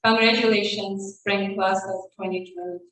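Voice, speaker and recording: A woman's voice: mid-range voice, steady tone, moderate pace, a bit bright, slight background noise. Woman, graduate, formal prepared speech, very echoey room, far from mic. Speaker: program graduate.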